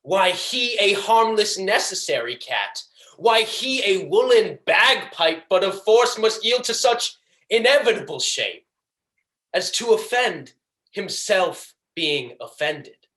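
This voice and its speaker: A young man playing a proud, vengeful merchant villain in a Shakespearean stage monologue, declaiming deliberately and tauntingly. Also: high voice, very animated, fairly bright tone, voice pushed up, heavily acted. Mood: taunting, forceful. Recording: echoey room.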